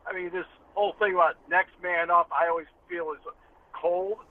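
A man's voice: high-pitched, flat delivery, moderate pace. A man, a radio sports announcer appearing as a guest, giving conversational analysis and repeating points for emphasis over a phone-like line. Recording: thin dry phone-like line.

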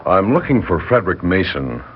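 An actor playing a calm, inquisitive police lieutenant in a 1960s radio drama, husky, courteous and measured as he probes. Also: low voice, full character voice. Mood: calm, probing. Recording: muffled 1960s radio recording.

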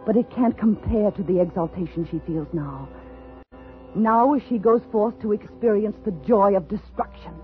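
An actress playing an unstable, haughty woman writer bent on revenge in a 1950s radio thriller, intense and halting, quick to flare. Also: mid-range voice, close to an everyday voice. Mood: brooding, dreamy. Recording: tinny old radio broadcast, music behind.

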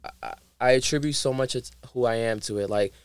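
A man with a New York Harlem accent, a guest in casual chat, relaxed and reflective with many fillers. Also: medium pitch, fast talker, clear, resonant tone, dry recording, faint music behind.

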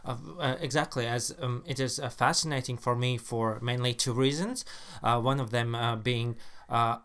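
A man from Latvia, co-hosting a podcast in halting English and pausing on "uh" between phrases. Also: medium pitch, a bit bright, smooth. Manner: halting, with constant "uh" pauses. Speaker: podcast co-host. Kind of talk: casual podcast chat.

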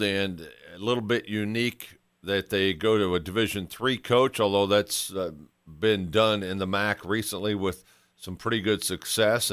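A man's voice: lowish voice, fairly bright tone, smooth, no echo, studio quality. A man hosting a sports podcast, speaking in an interview style.

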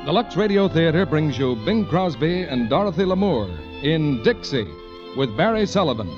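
A man's voice: fairly high pitch, expressive, moderate pace, over music. A man announcing a 1940s radio program in a grand, formal manner. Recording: dull vintage radio, orchestra behind.

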